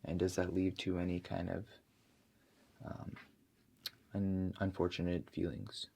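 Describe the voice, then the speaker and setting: A man playing a doctor in an ASMR roleplay, asking questions in a soft-spoken, unhurried, hesitant and reassuring way. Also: sits low, vocal fry, husky. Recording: steady audible background noise.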